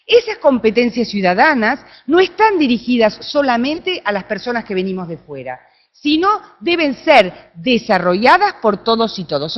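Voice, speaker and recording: A woman with an Argentine accent giving an academic talk at a conference, often checking in with her audience through quick tag questions. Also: a little high, dull audio. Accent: Argentine Spanish. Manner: animated, with frequent tag questions. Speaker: conference speaker. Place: conference room.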